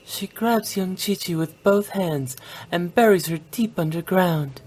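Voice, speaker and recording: A woman's voice: lowish voice, a little slow, darkish tone, husky. A woman voicing a playful, teasing young woman director in a video game, with theatrical storytelling. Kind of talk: scripted video game voice acting.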